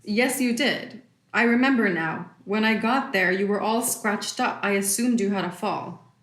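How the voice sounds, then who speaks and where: A woman's voice: mid-range voice, measured pace, fairly bright tone, natural voice. A woman playing a protective, worried middle-aged mother of a grown daughter in a naturalistic audio drama, with a concerned manner. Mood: puzzled, apologetic. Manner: concerned, slips into Spanish endearments and phrases. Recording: roomy indoor echo.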